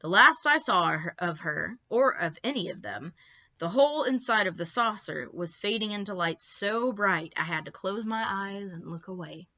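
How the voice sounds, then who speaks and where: A woman reads a children's storybook aloud in a bright, forward voice. Her delivery is expressive and emphatic, with small asides to young listeners. The recording is slightly dull and lo-fi. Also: medium pitch, moderate pace. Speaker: librarian reading stories to children.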